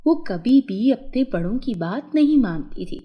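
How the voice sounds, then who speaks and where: Slow, lively high-voiced woman storyteller. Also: breathy edge, highs cut off. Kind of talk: scripted children's fairy tale narration.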